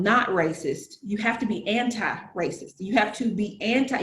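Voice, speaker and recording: A woman's voice: mid-range voice, a little hiss or hum. Earnest Southern woman in an echoey room. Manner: earnest, explains with analogies, frequent fillers. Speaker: guest. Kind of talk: casual conversation.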